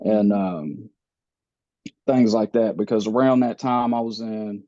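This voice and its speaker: A man in his thirties, born in the Philippines and raised in the US, telling his life story slowly with many pauses and fillers. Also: on the low side, mellow, dry recording, dull audio. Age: 30s. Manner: slow, halting, many fillers and restarts. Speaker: podcast guest.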